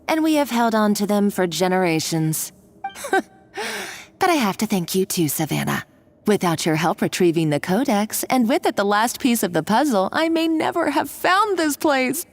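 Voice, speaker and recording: An actress playing an obsessive, ruthless treasure hunter who speaks in a gloating, dramatic way. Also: female voice, medium pitch, sing-song, normal speed. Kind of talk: video game voice acting.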